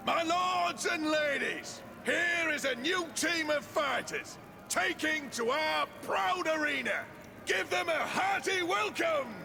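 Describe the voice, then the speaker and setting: An actor playing a showy, formal arena master in a theatrical fantasy game style. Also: male voice, very high-pitched, vocal fry, exaggerated voice. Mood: grand announcing. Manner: flamboyant, formal, showman-like. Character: showy male arena master announcing fights to the crowd. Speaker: arena announcer. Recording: long echoing reverb.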